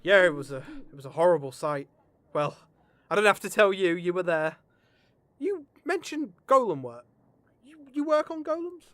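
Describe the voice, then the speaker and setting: A man's voice: high-pitched, sing-song, moderate pace, a bit bright, no echo. A man playing a flippant, chatty adventuring storyteller in a comedy fantasy audio drama, with a glib, colloquial manner.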